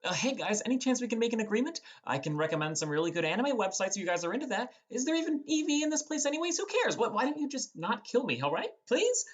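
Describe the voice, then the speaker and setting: A man's voice: sing-song, fast talker, hardly any pauses, exaggerated voice. A man voicing a nervous, wisecracking teenage boy who is a gamer hero in an audiobook. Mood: panicked, pleading. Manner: high-strung, nervous bargaining. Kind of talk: audiobook character acting.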